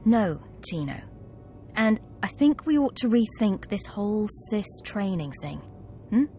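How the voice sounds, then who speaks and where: A woman's voice: medium pitch, big pitch swings, measured pace, mellow, soft background music. A woman playing a scheming young acolyte ringleader in a video game, confident, wry and coaxing. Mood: wry, sobered. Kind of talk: video game cutscene acting.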